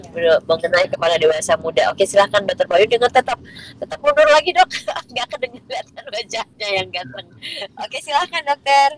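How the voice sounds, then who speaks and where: A woman with a stuffy nose from a cold, moderating in a lively, teasing, informal way over a call. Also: mid-range voice, a little fast, low-quality recording. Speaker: doctor moderator.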